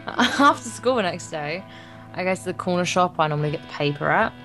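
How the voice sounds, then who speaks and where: Female let's player, lowish voice, theatrical and joking read of a visual novel, guitar music underneath. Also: animated, a little fast, bright, forceful tone, low-quality recording. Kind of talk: gameplay reading in character with joking asides.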